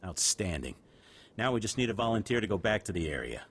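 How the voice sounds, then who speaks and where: A candid sci-fi game newsman. His voice is deep and husky with lively swings in pitch, and his manner is brisk, determined and emphatic. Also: full character voice. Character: brisk, determined male news holo-editor, candid. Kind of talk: video game voice acting.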